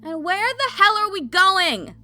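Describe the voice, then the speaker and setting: A very high-pitched, bright-edged young woman, played by an actress, hot-tempered and impatient. She is a blunt fire mage in an audio drama, demanding and agitated. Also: sing-song, a little slow, soft background music, full character voice. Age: young adult.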